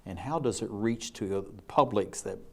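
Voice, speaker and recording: A man's voice: lowish voice, moderate pace, gravelly, a little mellow. A man hosting an interview show, asking long rambling and enthusiastic questions. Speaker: talk show host.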